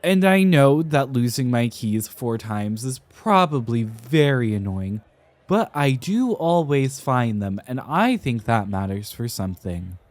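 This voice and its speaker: Casting: man to play nervous, earnest young architect for ASMR roleplay; mid-pitched, slow, theatrical diction with hesitant rambling. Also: lively intonation, no room sound, natural voice. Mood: exasperated, defensive. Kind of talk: ASMR roleplay, speaking to the listener.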